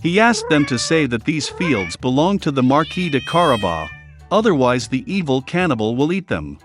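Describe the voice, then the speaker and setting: A man's voice: medium pitch, sing-song, moderate pace. A man reading a scripted fairy tale for children as a storyteller. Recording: soft music behind the voice. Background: background music.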